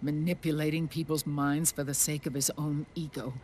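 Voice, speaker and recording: An actress playing a commanding older woman who leads a resistance group, speaking in a grave, measured way in a game cutscene. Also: deep voice, level tone, background noise, natural voice. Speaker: resistance leader. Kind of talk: video game cutscene acting.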